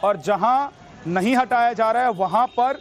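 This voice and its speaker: A man's voice: very high-pitched, unhurried, slight background noise. A man narrating news reports as an anchor in a dramatic, emphatic voice-over style. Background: music bed.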